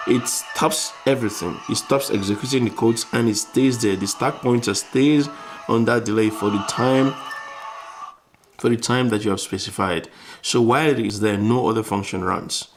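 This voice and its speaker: A man explaining electronics informally, step by step, as a tutor who repeats phrases while he demonstrates. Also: mid-range voice.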